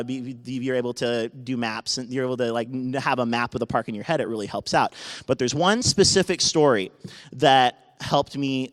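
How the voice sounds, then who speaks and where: A man in his twenties with a naturally loud voice, telling a personal story live to an audience, halting and often restarting his sentences. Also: mid-range voice, clean tone. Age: 20s. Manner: halting, with many restarts and self-corrections. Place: university event space. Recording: live talk with long hall reverb.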